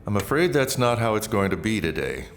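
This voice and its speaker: An actor playing a weary, terse lieutenant with a restrained manner in an audio drama. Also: male voice, lowish voice, sing-song, brisk, creaky, over music. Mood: businesslike, evasive. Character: weary, guilt-ridden male lieutenant, quietly resolute.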